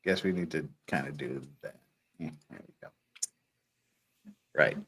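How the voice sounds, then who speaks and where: A man's voice: very deep voice, a little slow, a bit bright, husky. A man hosting a casual comic commentary show, joking and sardonic as he chats. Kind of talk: casual chat and dramatic reading of trial transcripts.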